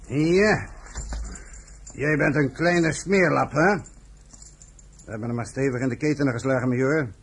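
An actor playing a hard, contemptuous police inspector in a radio play, with a brisk, authoritative manner. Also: male voice, medium pitch, slight background noise, natural voice. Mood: sneering, commanding.

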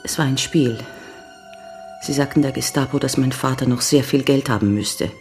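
An actress playing a grave young American woman giving measured, sombre testimony in a German radio crime drama. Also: extremely low pitch, a little mellow, soft background music. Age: young adult. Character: grave young American woman recounting her father's betrayal. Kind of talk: German radio play acting.

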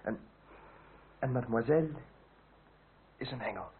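An actor playing an earnest, deferential young revolutionary in a Dutch radio drama, courteous and somewhat halting in speech. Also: male voice, medium pitch, close to an everyday voice. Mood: flustered, earnest. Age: young adult. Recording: tinny, band-limited, hissy old radio recording.

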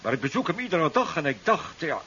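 A man's voice: fairly high pitch, big pitch swings, brisk, bright, forceful tone. An actor playing a brusque, flustered male doctor under pressure, in 1970s radio drama style. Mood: uneasy, hesitant. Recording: dull, hissy 1970s radio recording.

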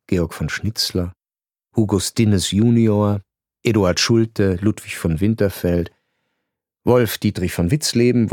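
Male narrator for a literary radio drama, low-set voice, dry studio read, measured and ironic. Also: a little mellow, no room sound. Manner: measured, ironic storytelling with deliberate pauses.

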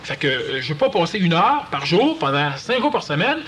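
A man with a Quebec French accent giving a lively, conversational talk to an audience and acting out quoted voices. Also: fairly high pitch, normal speed, slight background noise. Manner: animated, acts out quoted voices. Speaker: lecturer.

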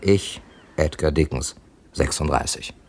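A man's voice: rumbling low, unhurried, darkish tone. An actor playing a worldly, wry crime writer in his thirties in a 1970s German radio drama. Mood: wry, composed. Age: 30s. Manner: dry, wry, urbane. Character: Edgar Dickens, worldly thirty-six-year-old male crime writer from London. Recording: noisy old radio archive recording.

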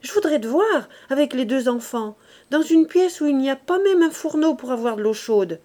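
A woman's voice: fairly high pitch, slow pace, dark tone. A woman voicing a young, distressed, worn-out mother who pleads and argues with rising emotion. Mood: indignant.

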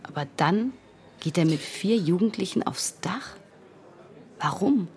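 An actress playing a grieving, insistent young woman in a naturalistic radio drama, speaking earnestly and haltingly. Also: lowish voice, expressive, a little mellow. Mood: serious, unsettled. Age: young adult.

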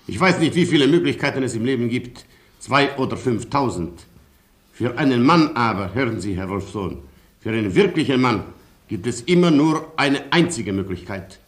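A man's voice: mid-range voice, animated, normal speed, natural voice. Proud, commanding Polish colonel played by a man. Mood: haughty. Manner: commanding, curt, haughty. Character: proud, imperious aristocratic Polish colonel, stubborn man of action. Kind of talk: theatrical radio play acting.

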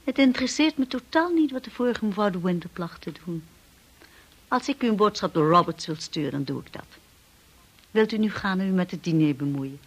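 A woman's voice: mid-range voice, expressive, fairly quick, breathy edge. An actress playing a devoted, steadfast young wife and lady of the manor in a radio drama. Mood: firm, cool. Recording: noisy old radio play recording.

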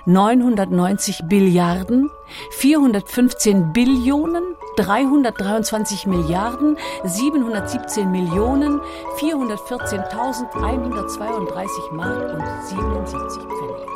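A woman's voice: medium pitch, expressive, moderate pace, over loud music. A woman reading scripted documentary narration as one of its narrators.

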